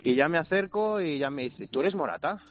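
A man calling a radio show, telling a lively anecdote. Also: on the high side, fairly quick. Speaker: caller. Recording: muffled phone line with music.

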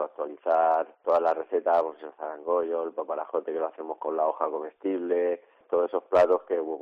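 A man's voice: rumbling low, phone-quality sound. A middle-aged Spanish man from La Mancha, a chef being interviewed, talking casually and hesitantly with many fillers and restarts. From La Mancha, Spain.

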